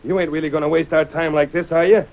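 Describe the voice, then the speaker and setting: An actor playing a shifty, defiant outlaw in an old radio western, with an American Western drawl. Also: male voice, on the high side, fairly even intonation, quick pace. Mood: alarmed. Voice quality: gravelly. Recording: muffled old radio broadcast.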